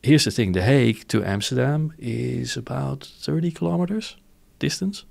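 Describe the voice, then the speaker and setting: A man's voice: medium pitch, expressive, moderate pace, vocal fry, a little mellow. A man from the Netherlands, chatting casually as an interview guest and explaining things in short, informal bursts that often end in a checking question. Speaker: interview guest, company founder.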